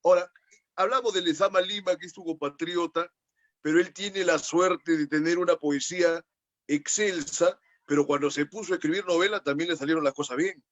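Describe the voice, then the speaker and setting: A man's voice: fairly high pitch, fairly even intonation, a little fast, a bit bright, dry recording, lo-fi sound. A man hosting a radio interview, engaged and conversational, who breaks in with short agreements and prompting questions. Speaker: radio show host.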